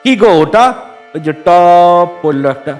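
Cartoon casting: man as innocent, nosy ordinary fellow, high-pitched male, chatty. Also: animated, measured pace, darkish tone, soft background music. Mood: curious. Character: naive, chatty living man wandering curiously among the dead. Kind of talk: Bengali cartoon voice acting.

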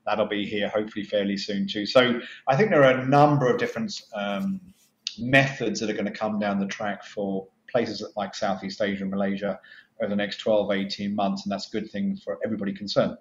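Male executive, 50s, low register, emphatic interviewee; echoey, muffled video-call sound. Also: steady tone, fairly quick. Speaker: postal company chief executive, interview guest. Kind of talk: conversational interview answers. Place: office, indoors.

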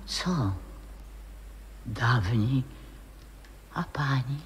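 A woman's voice: a bass voice, lively intonation, extremely slow, breathy edge, big-room sound, full character voice. An actress playing a frail old grandmother and former stage singer in a 1960s radio play, dreamy and often whispering. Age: older.

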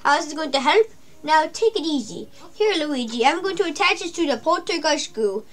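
Old male inventor, cackling, a woman reading his lines in a high voice. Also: lively intonation, a little fast. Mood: chipper. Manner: reading on-screen dialogue aloud.

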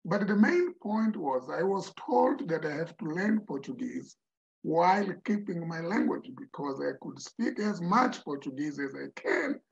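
A man's voice: fairly high pitch, big pitch swings, a little slow, creaky. An older man from Mozambique, a Tsonga speaker, telling his story as a podcast guest, repeating words and saying "you know" often. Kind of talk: reflective storytelling in an interview. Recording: dull lo-fi audio.

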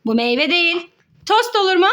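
A young woman playfully hosting a children's game as a mother, encouraging and coaxing in an animated, sing-song way. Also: very high-pitched, moderate pace.

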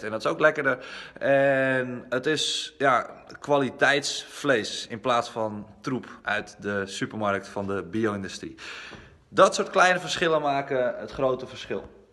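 A young man with a Netherlandic Dutch accent talks to his phone like a trainer showing people around. His voice is bright and clear-cut, and he explains things in a conversational way. The small indoor rooms add a long, ringing reverb. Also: mid-range voice. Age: young adult. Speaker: personal trainer and gym owner. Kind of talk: informal vlog tour to camera. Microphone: phone at arm's length.

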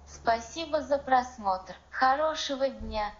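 A woman giving a short, scripted sign-off in a flat, synthetic-sounding delivery. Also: high voice, a little slow, lo-fi sound.

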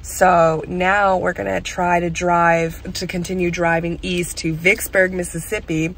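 Woman in her 30s vlogging in her car, chatty and laughy, loud rain noise all around. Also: on the low side, flat delivery, measured pace. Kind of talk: casual vlog chat. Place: inside a car in heavy rain. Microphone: camera at arm's length. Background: rain drumming on the car.

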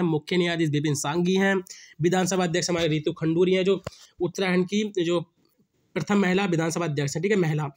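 A young man with a sore throat explains quiz answers over slides, asking a question and then repeating the answer. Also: high-pitched. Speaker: exam prep teacher. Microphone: screen-recording voice-over.

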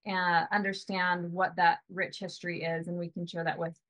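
A woman's voice: lowish voice, little pitch movement, normal speed, a bit bright. A woman in her thirties, a sauna business owner, chatting casually on a video call from home, often tagging "right?" onto her points. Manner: chatty, hedging with "you know" and "right?".

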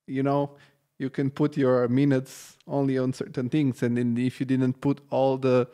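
A man in his thirties, born in Bulgaria, hosts a podcast interview from a small home studio. He speaks into a studio boom mic in a friendly, halting way, full of fillers. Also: little pitch movement, mellow. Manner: friendly, hesitant, many fillers. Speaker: podcast host. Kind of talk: casual interview. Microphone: studio boom mic, headphones.

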